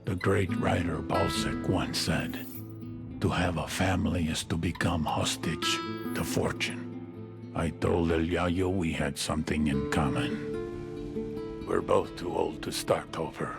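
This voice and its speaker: An actor playing a brooding, philosophical crime boss in a measured, literary game monologue. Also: male voice, deep voice, steady tone, gravelly, heavily acted. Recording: loud guitar music behind voice.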